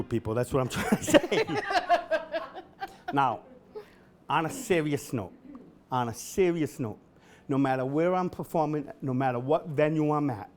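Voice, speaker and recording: A middle-aged man doing a stand-up comedy set at an event, with a deadpan delivery that bursts into shouted singing. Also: mid-range voice. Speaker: comedian host. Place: event hall with a live audience.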